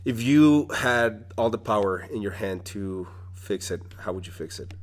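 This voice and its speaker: Low-voiced man hosting a casual podcast. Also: expressive, normal speed, a little hiss or hum. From Mexico. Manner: rambling, many restarts and fillers. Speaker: podcast host. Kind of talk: casual conversational interview.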